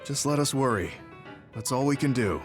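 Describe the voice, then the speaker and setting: An actor playing a calm, caring, level-headed teammate in a video game, measured and analytical. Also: male voice, medium pitch, music in the background, natural voice. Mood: thoughtful. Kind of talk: video game cutscene voice acting.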